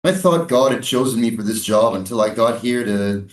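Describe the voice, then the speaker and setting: A woman acting a weary, sardonic army prison guard in a staged reading, with a dry deadpan delivery. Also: very deep voice, normal speed, roomy sound.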